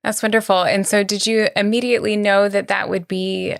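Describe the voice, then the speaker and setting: A woman's voice: mid-range voice, little pitch movement, fairly quick, creaky, clean tone, no room sound, clean studio sound. A woman co-hosting an academic podcast, giving introductions and asking interview questions.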